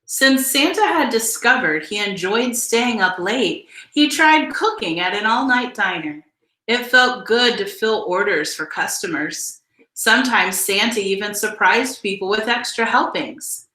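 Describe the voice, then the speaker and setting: A woman reading a children's picture book aloud in an expressive, deliberate storytelling style. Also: medium pitch, roomy sound, poor audio. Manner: measured, deliberate storytelling delivery with emphasized phrases. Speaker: storybook reader.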